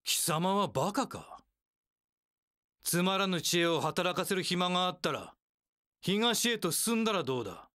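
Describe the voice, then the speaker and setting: A man's voice: high voice, animated, unhurried, long pauses, bright, forceful tone, dry recording, clean studio sound, heavily acted. An actor playing a cold, haughty warlord strategist in an action game, imperious, clipped and full of scorn for his underlings. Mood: scornful. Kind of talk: Japanese action game voice acting.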